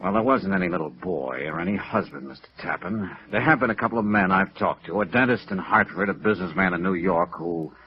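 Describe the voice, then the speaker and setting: Old lo-fi radio noir: persistent American investigator, clipped and matter-of-fact, with a very varied pitch. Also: male voice, animated, brisk, few pauses. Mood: skeptical. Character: world-weary, persistent male insurance investigator narrating his case. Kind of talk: 1950s radio noir acting and narration. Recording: dull vintage radio recording.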